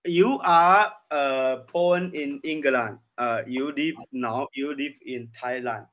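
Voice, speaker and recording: A man's voice: medium pitch, slow pace, a bit bright. A man in his thirties with a Chinese accent, practising conversation in hesitant, broken English and repeating his words. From China. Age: 30s. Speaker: English learner. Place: online video lesson call.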